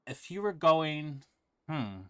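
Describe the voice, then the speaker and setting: A man's voice: a little high, big pitch swings, slow pace, clear, resonant tone, no echo, clean studio sound. A man streaming a video game, chatting casually with viewers and commenting as he plays. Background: faint game music.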